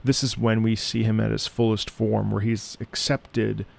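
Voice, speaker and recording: A man's voice: lowish voice, completely flat delivery, unhurried, vocal fry, background noise. A man giving reflective reaction commentary on music, musing and searching for his words as he goes.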